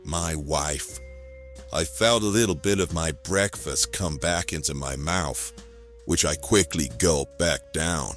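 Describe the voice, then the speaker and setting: A man playing a hapless, hard-boiled character with wry, slangy deadpan delivery, narrating and acting in a noir-style audio play. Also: a bass voice, slow pace, faint music behind, exaggerated voice. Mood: wry narration.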